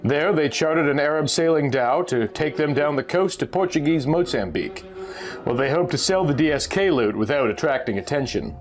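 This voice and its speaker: A man reads a pulp adventure tale with theatrical suspense. His voice sits a bit high and swings widely in pitch, with music playing behind him. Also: expressive, fairly quick. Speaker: narrator reading a pulp magazine story. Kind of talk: dramatic scripted narration of an adventure story.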